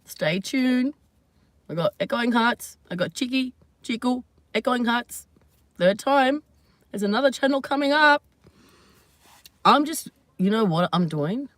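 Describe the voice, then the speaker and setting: A woman hosting her own channel, chatting casually and at length to her viewers, slightly hoarse, rambling and laughing often. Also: a little high, lively intonation, measured pace, long pauses, a bit bright, no room sound. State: hoarse from screaming. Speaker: channel host. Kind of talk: casual live vlog chat to viewers.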